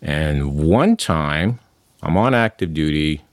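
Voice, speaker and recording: A middle-aged man from New York City with no regional accent, calmly telling stories as an interview guest. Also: extremely low pitch, takes it slowly, no echo, studio quality. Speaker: interview guest, amateur actor. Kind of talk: conversational interview.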